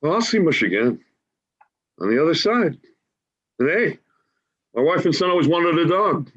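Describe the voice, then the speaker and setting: Through slightly dull, lo-fi call audio comes a man acting a big-hearted, folksy homeless dreamer. His husky voice swings up and down with coaxing enthusiasm, as in a stage play reading. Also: fairly high pitch, sing-song, moderate pace, a bit bright. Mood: fond. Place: remote reading over video call.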